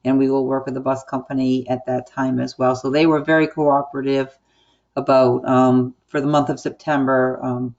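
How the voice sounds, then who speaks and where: An American woman speaks in an online meeting with a measured, explanatory manner. Her pitch moves little and she pauses as she goes. The call audio is slightly muffled and lo-fi. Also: mellow. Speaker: school board chair.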